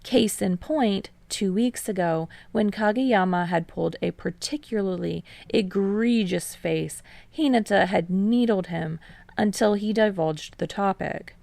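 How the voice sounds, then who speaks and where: Woman narrator for fiction, unhurried pace, gravelly creak, slightly low, dry close sound. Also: darkish tone, no echo. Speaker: fan fiction reader. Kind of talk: scripted narration of a story, audiobook-like.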